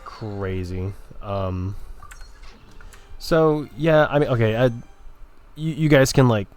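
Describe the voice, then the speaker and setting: A man's voice: medium pitch, takes it slowly, darkish tone. A man rambling casually and hesitantly as he introduces a gameplay video, with an offhand manner. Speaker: gaming video creator.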